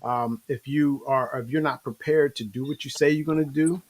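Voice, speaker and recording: A man's voice: mid-range voice, steady tone, moderate pace. A man hosting a casual online interview with a stage cast, talking in an easygoing, rambling way with many fillers over a video call. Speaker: show host.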